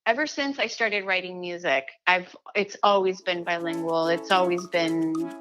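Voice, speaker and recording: A middle-aged woman from Chile talking as an interview guest, animated, with many restarts and fillers. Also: fairly low pitch, moderate pace, faint music behind, rough recording. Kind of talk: casual interview chat.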